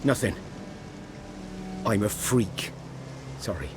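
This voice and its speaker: Melancholy outcast hunter, lowish voice, guarded and plain, music under. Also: male voice, animated, takes it slowly. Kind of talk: video game voice acting. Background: game music.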